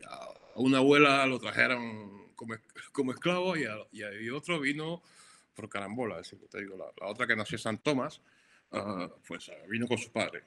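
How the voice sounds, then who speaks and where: A man's voice: medium pitch, moderate pace, bright, forceful tone. A middle-aged Cuban man with a Cuban Spanish accent, answering interview questions in a reflective way, often restarting and repeating words. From Cuba. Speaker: photographer, interview guest. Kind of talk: conversational interview.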